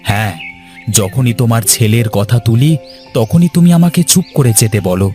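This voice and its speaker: A man's voice: mid-range voice, animated, normal speed, dark tone, soft background music, lightly acted. A man voicing a grumbling poor younger son. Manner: complaining, bickering. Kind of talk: cartoon voice acting.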